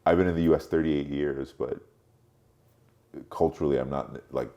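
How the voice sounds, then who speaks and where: A man in his late forties who grew up in Europe, speaking reflectively as an interview guest, haltingly, thinking aloud and repeating words. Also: a bass voice, highs cut off. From Europe, mostly France, born in Cameroon. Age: late 40s. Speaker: podcast guest, marketing strategist.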